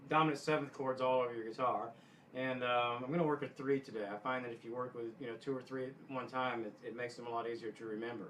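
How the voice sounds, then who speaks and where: A man giving casual guitar instruction in a halting way, often backtracking and clearing his throat. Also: medium pitch, fairly even intonation, a bit bright, a little hiss or hum, rough recording. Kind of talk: casual instructional talk to camera.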